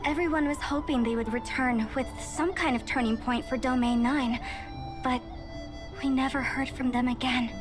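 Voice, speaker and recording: A woman's voice: sits high, steady tone, normal speed, lightly acted. A woman playing an earnest, dutiful young researcher in a video game, formal and polite as she explains things. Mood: grave, earnest. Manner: formal, expository, apologetic. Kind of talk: scripted video game dialogue. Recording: music behind the voice.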